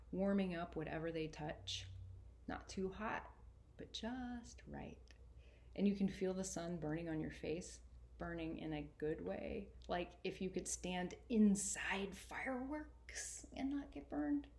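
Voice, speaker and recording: A woman voicing a sarcastic, earnest boy narrator in an expressive, colloquial first-person storybook reading. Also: mid-range voice. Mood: savoring. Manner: colloquial, exasperated, emphatic.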